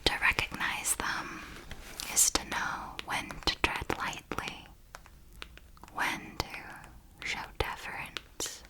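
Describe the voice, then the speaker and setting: A woman acts out an elegant vampire mentor for an ASMR roleplay. She whispers intimately at a very slow, deliberate pace, close to the mic. Her phrasing is formal, with a possessive fondness and calm command. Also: lightly acted, low-quality recording. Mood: calm, instructive. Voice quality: breathy whisper. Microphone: close microphone.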